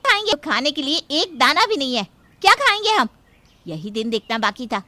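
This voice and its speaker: A woman acts out a haughty young woman from a cartoon morality tale. Her voice is high and bright, with a sharp edge. She speaks with scorn and a short temper, demanding what she wants. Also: sing-song, normal speed, no echo, full character voice. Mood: bitter, angry. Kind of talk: Hindi cartoon moral-story voice acting.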